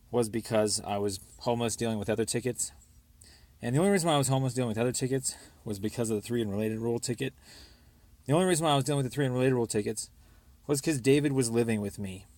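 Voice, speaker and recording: A man's voice: mid-range voice, darkish tone, no echo. American man leaving an insistent, aggrieved voicemail. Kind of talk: rambling personal voicemail monologue.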